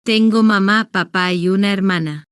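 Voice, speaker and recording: Woman narrator for a learner story, slow and deliberate, dry close sound. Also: mid-range voice, fairly even intonation, smooth, no room sound. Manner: slow, deliberate, evenly paced short sentences. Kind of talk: slow scripted first-person story narration for beginners.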